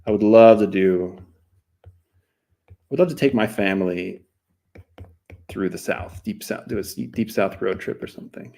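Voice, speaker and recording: Gravelly low-voiced American man chatting. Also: normal speed, long pauses, mellow. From New Mexico, USA. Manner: rambling, self-deprecating, slips into other languages. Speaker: cartoonist streaming while drawing. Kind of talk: casual live-stream chat.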